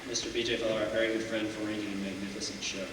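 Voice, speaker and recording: A young college singer giving halting onstage thanks, with many ums and uhs. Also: male voice, on the low side, flat delivery. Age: young adult. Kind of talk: casual onstage speech. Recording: echoey hall, mic far off, loud noise.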